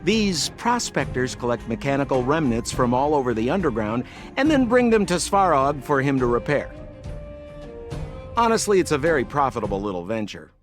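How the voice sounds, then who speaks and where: A man's voice: mid-range voice, very animated, moderate pace, faint music behind, lightly acted. Theatrical, boastful young swindler, played by a man. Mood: breezy explaining. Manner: theatrical, salesman-like, wheedling. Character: smooth-talking, boastful young male swindler guide. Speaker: guide. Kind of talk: video game voice acting.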